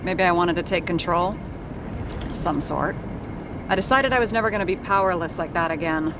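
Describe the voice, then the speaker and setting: A woman's voice: on the low side, brisk, background noise, close to an everyday voice. An actress playing a tough, guarded young woman from the slums in a noir video game, speaking with a dry, determined manner. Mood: bitter, determined. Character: tough, guarded slum-born young woman, vengeful liaison. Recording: loud city and vehicle ambience.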